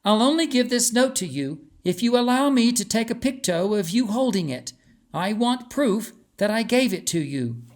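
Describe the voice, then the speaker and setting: A man's voice: sits high, very animated, moderate pace, pitched above the performer's own voice. A man voicing a determined, wary woman who is a royal chamber nurse in a storybook, speaking firmly and insistently. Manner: firm, insistent. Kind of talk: storybook character dialogue.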